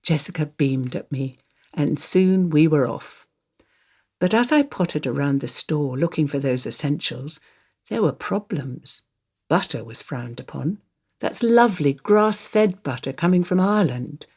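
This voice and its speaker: An older woman with a low voice performs a dramatic monologue. She tells it like conversation, confiding and wry. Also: lively intonation, measured pace, darkish tone, breathy edge. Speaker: reader of a radio play monologue. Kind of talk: dramatic first-person monologue, conversational storytelling.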